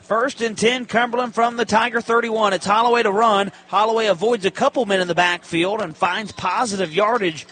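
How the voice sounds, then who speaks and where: A man's voice: sits high, steady tone, brisk, hardly any pauses, a bit bright. A man working as a radio announcer, giving live football play-by-play in clipped, descriptive phrases. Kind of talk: live sports play-by-play commentary. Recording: live broadcast with stadium background noise. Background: stadium ambience, faint noise.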